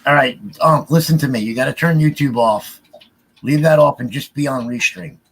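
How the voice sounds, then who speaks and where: A man's voice: mid-range voice, moderate pace, rough recording. A man co-hosting a livestream in casual chat, often repeating short phrases. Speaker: podcast livestream co-host.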